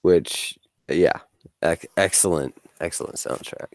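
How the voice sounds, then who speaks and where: A man's voice: sits low, measured pace, no room sound. A man in his forties hosting a casual podcast chat, rambling and restarting often, laughing easily. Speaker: podcast host.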